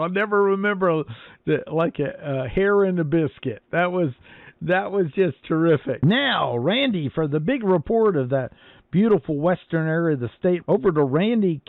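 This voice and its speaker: A man's voice: high voice, expressive, moderate pace, no echo. An American man hosting an amateur radio net in a conversational, appreciative and polite way. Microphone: internet-linked ham radio transmission. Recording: narrow phone-like radio link audio.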